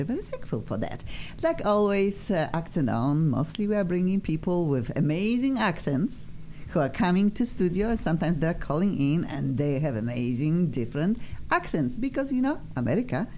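A woman's voice: sits low, mellow, a little hiss or hum. A woman with a strong Polish accent, hosting a live radio talk show in a studio, gushing with enthusiasm and praise. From Poland.

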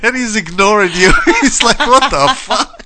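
Lively, swearing male radio co-host. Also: sits high, unhurried. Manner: swears a lot, laughs often, teasing. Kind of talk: casual profane banter.

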